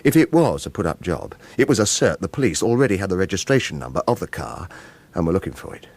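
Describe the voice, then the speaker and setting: A man's voice: low voice, big pitch swings, quick pace, a little mellow, slight background noise. A man acting a wary, world-weary retired professional killer in a radio crime drama. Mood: tense, calculating. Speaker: actor playing the narrator and lead. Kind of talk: radio drama, first-person crime narration and dialogue.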